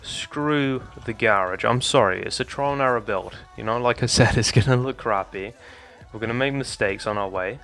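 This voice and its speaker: A young man with a slightly hoarse voice casually talking viewers through a building tutorial, addressing them as guys. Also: mid-range voice, moderate pace, few pauses, fairly bright tone. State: slightly hoarse, losing his voice. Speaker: Minecraft building tutorial creator. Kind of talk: casual gameplay tutorial commentary. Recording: faint background music.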